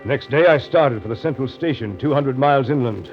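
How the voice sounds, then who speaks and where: An actor playing a riverboat captain recounting a grim journey in brooding, deliberate 1930s radio drama narration. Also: male voice, mid-range voice, music in the background, natural voice. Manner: brooding, deliberate, literary storytelling. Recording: dull, lo-fi 1930s radio recording.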